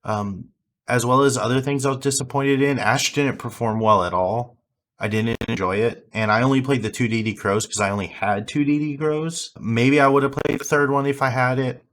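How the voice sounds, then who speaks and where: A hoarse young man, having lost his voice, casually reviewing his card game deck with rambling fillers and restarts. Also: mid-range voice, moderate pace, a bit bright.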